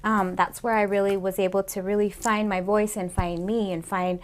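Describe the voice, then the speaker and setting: A woman's voice: medium pitch, little pitch movement, moderate pace. A woman in her late thirties from Seattle, a comedian guest chatting casually in an interview, rambling with lots of fillers. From Seattle, Washington, USA. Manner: rambling, chatty, many "like" and "you know" fillers.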